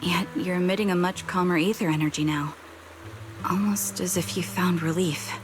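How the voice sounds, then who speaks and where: Actress as young female sniper, lowish voice, composed, resolute, formal, video game drama, music underneath. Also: close to an everyday voice. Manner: calm, measured, formal. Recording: music behind the voice.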